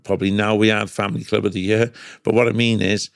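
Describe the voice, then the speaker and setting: A man's voice: lowish voice, flat delivery, fast, creaky, dry recording, studio quality. A middle-aged man with a Cardiff Welsh accent telling casual anecdotes as a podcast guest, emphatic and repetitive, often checking in with "right?". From Cardiff, Wales.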